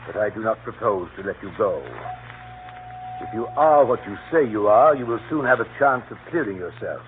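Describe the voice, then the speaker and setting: An actor playing a suave older gentleman villain, courteous, deliberate and quietly threatening, in a 1930s radio drama. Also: on the low side, lively intonation, lightly acted. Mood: cold threat. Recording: noisy, phone-like 1930s radio recording.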